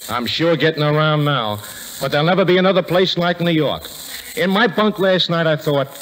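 A man's voice: on the high side, a bit bright. An American man reading out a letter in 1940s radio style. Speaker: reader of a soldier's letter. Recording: slightly noisy 1940s radio recording.

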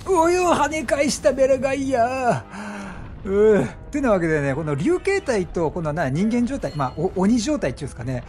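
A man giving chatty, animated gameplay commentary as a gaming streamer, explaining things as he plays and exclaiming at the action. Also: sits high, moderate pace. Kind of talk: excited game commentary and explanation.

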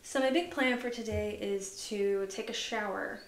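A woman vlogger chatting casually through a grocery haul, relaxed and full of fillers, sounding tired. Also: mid-range voice, moderate pace. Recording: echoey room with noise.

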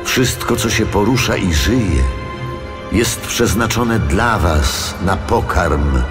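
An actor playing God in a dramatized scripture production, speaking with solemn, measured authority. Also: male voice, on the low side, lively intonation, music in the background. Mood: solemn command. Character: God of the Old Testament, solemn, commanding male deity.

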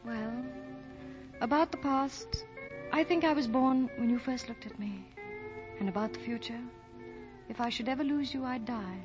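A woman's voice: fairly high pitch, normal speed, natural voice. Woman as romantic young heroine, dreamy and tender, old radio play, dull sound over prominent music. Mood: tender, wistful. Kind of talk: 1940s radio drama acting. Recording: muffled old 1940 radio recording, music behind.

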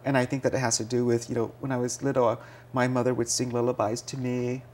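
A man in his thirties, a Vietnamese-speaking poet and editor, answering interview questions in a relaxed, thoughtful way with many fillers. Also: medium pitch, fast talker, a little hiss or hum. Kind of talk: TV interview guest. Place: small TV studio set. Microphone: lapel mic.

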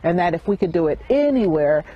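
A woman's voice: fairly low pitch, very animated, a little fast, husky. Woman interviewed outdoors, slightly muffled sound. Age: middle-aged. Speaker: interviewed local resident. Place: outdoors near parked cars. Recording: dull sound, faint outdoor noise.

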